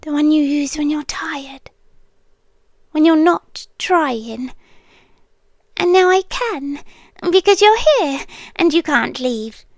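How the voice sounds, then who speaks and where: An obsessive young yandere girl with a British accent, acted by a woman, murmurs in a high, soft voice right up at the microphone. The sound is dry and intimate, as in ASMR roleplay. She takes her words slowly and deliberately, often dropping to a whisper, with longing and quiet menace. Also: lively intonation, dry recording, heavily acted. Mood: yearning, controlled menace. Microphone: close microphone.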